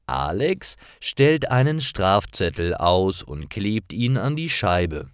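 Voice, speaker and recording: A man narrates simple stories for language learners. His delivery is very unhurried and carefully phrased, with lively swings in intonation. The sound is dry and close, like a read-aloud. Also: deep voice, clear, resonant tone, dry recording.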